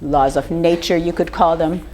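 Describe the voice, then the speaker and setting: An older woman with a slightly rough voice gives an informal lecture, talking conversationally and putting questions to her audience. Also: on the low side, steady tone, moderate pace, warm tone, rough recording. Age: 50s or older. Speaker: speaker giving a lecture on raw food.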